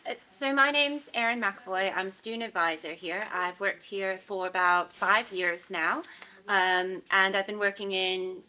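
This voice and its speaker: A woman's voice: mid-range voice, steady tone, a little slow, pushed and bright. A woman with an American accent, speaking as a student advisor in an informal interview, explaining things in a reassuring way. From United States.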